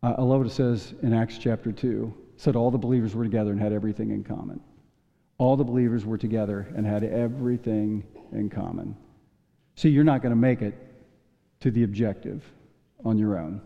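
A male pastor preaching a sermon in a folksy storytelling manner, stumbling into restarts and chuckling now and then, with a voice he says is going hoarse. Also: fairly low pitch, fairly even intonation, a little fast. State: losing his voice. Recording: dull long church reverb.

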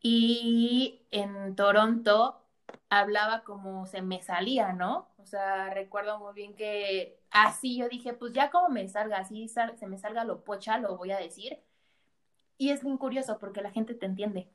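A woman's voice: medium pitch, steady tone, a little slow, a bit bright. Mexican woman guest telling stories. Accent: Mexican Spanish. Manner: storytelling, laughs often. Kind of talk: casual chat.

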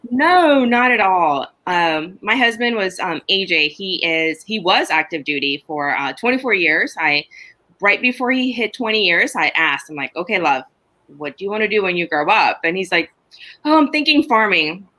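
A woman's voice: lowish voice, moderate pace. A middle-aged woman from Honduras, a farmer chatting casually and telling stories about her farm. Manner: chatty, animated storytelling with laughs. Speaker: farmer, podcast guest. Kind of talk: casual chat.

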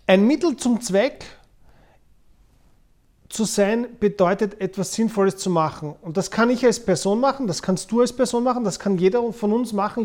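A man's voice: sits high, a little mellow. Viennese man in his forties, explaining. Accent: Viennese Austrian. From Vienna, Austria. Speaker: podcast host, former investment banker. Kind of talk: unscripted podcast talk about money.

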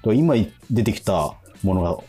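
A man, hosting radio with casual, animated storytelling. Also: on the low side, normal speed. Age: 40s. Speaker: radio show host. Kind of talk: casual radio chat with anecdotes. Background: music.

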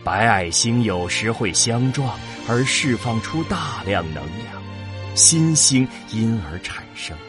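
A man narrating a science fiction audio drama in a solemn, deliberate, dramatic manner. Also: mid-range voice, lively intonation, music in the background.

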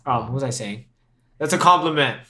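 A man's voice: mid-range voice, fairly quick, pushed and bright. A young man hosting a casual podcast chat, laughing often and repeating himself as he talks. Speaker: podcast host.

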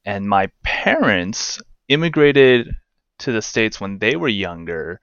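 Low, smooth-voiced man, wry and rambling. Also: very animated, slow, fairly bright tone, no room sound, muffled recording. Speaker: guest, games news editor. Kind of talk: casual conversational interview.

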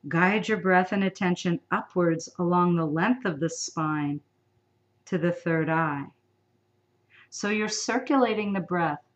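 A woman guides a sleep meditation in a lowish, gentle voice. She speaks very slowly and softly, with long pauses between soothing instructions. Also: muffled recording.

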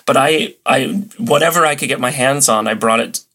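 A man's voice: mid-range voice, moderate pace, pushed and bright. A man chatting casually as a podcast guest, telling stories in an enthusiastic, playfully dramatic way. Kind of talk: casual chat, storytelling.

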